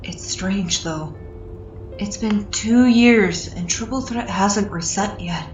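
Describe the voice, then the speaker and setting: A woman's voice: medium pitch, slow, roomy sound, recorded from a distance, natural voice. A woman voicing a weary but polite young male adventurer in a comic fan dub. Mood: subdued, apologetic. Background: music.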